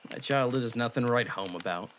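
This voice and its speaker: An actor playing a brash, boastful young half-demon man with a gruff, defensive manner in a fan audio drama. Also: mid-range voice, fast, fairly bright tone, a little hiss or hum, lightly acted. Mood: grudging. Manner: cocky, defensive, gruff bragging.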